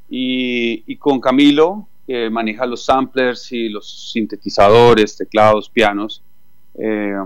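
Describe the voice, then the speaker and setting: A male musician from Chile with a Colombian accent, talking through an interview over the line with many thoughtful "eh" pauses. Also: mid-range voice, dry recording. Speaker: musician guest. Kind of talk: phone interview.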